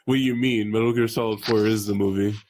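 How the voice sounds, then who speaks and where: A man's voice: lowish voice, steady tone, a little fast, darkish tone, a little hiss or hum. A man in a casual podcast chat, talking in a blunt, joking, slangy way. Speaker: podcast panelist.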